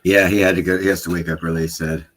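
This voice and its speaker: Low-voiced guy on a stream, easygoing, trades jokes with the host. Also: little pitch movement, racing, a bit bright. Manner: laid-back, joking. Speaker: livestream co-host. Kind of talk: casual livestream chat.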